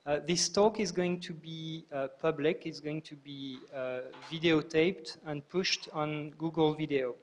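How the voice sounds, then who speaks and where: A man hosting a tech talk, giving an informal, halting introduction of a guest speaker. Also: a little high, steady tone.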